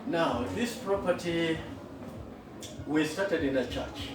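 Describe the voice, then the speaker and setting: A middle-aged man with a Kenyan accent, a school founder, telling his story in an interview in an earnest, unhurried way with frequent fillers. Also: on the high side. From western Kenya. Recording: echoey room, far from the mic, with noticeable background noise.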